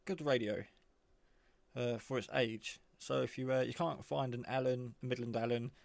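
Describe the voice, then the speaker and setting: A man giving a casual, off-the-cuff product demo, rambling in a low-key way as he thinks aloud. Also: fairly even intonation, normal speed, vocal fry, no room sound. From England. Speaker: hobbyist reviewer.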